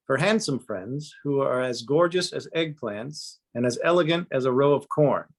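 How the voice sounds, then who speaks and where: A man reading a poem aloud over a video call. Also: medium pitch. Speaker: theatre company guest. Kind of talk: poetry reading.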